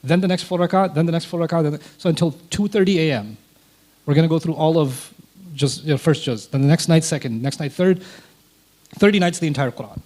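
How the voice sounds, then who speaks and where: A man whose first language is Urdu, a guest in an interview, telling a personal story in an animated way and acting out quoted dialogue. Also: fairly high pitch, fast talker.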